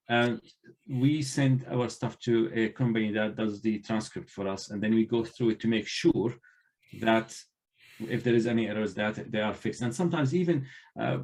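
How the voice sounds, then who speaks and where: Earnest non-native man, halting speech. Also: mid-range voice, vocal fry, darkish tone. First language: not English. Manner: earnest, halting, many restarts. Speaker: librarian trainer. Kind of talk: online panel discussion.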